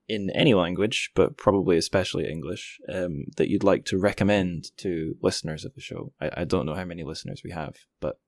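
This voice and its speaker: A man's voice: low voice, vocal fry, no room sound, clean studio sound. A man hosting a podcast interview in a casual, informal, slightly rambling and self-deprecating way. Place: indoors by a window.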